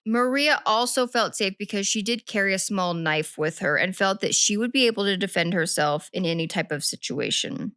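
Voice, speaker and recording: A woman co-hosting a true-crime podcast, retelling a case in a chatty, meandering way and fixing her own slips as she goes. Her voice sits at an average pitch for a woman. The sound is dry and close. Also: animated, normal speed, few pauses, pushed and bright, clean tone, dry recording. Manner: chatty, rambling, self-correcting. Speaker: podcast co-host.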